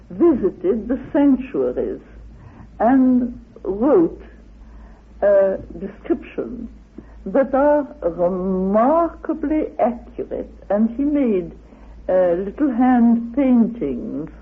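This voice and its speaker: Interviewed researcher, slow and husky, halting explanations, thin narrow-band radio recording. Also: mid-range voice. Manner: deliberate, halting delivery.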